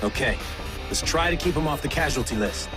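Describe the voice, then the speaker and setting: An actor playing a cocky, wisecracking armored superhero in a video game, glib, sardonic and self-assured. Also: male voice, on the high side, lively intonation, brisk, close to an everyday voice. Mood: brisk, heroic. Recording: game music and engine noise behind.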